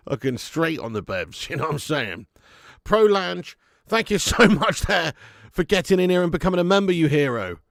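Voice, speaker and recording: A man hosting a live fan watch-along of a football match at home, chatting to viewers with sweary banter and bursting into loud cheering at chances. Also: fairly high pitch, creaky, no room sound, clean studio sound. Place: at home in front of a camera.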